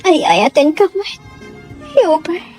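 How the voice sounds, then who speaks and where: A Filipino woman playing a sweet, shy young sweetheart in a radio drama, soft and emotive in delivery. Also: high-pitched, sing-song, takes it slowly, faint music behind, exaggerated voice. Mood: tender, moved. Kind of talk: Ilocano radio drama acting.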